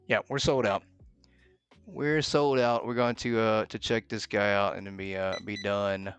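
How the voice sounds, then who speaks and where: A man's voice: lowish voice, level tone, unhurried, a bit bright, soft background music. A man giving live gameplay commentary as a streamer, thinking aloud and muttering to himself as he plays. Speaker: game streamer.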